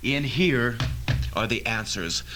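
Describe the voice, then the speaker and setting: A man's voice: medium pitch, animated, slow pace, over music. A man playing a brash, impatient, arrogant physicist in an old-fashioned radio drama, insistent and emphatic. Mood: eager, insistent.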